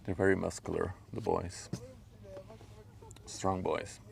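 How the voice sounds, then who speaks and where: A man's voice: deep voice, flat delivery, slow pace, vocal fry. A man from Belgium, a native Dutch speaker with a Flemish accent, chatting casually in an easygoing, rambling way as he livestreams from a riverbank. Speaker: live streamer, drone pilot. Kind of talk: casual livestream chat and commentary. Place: outdoors on a riverbank. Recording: faint outdoor background noise.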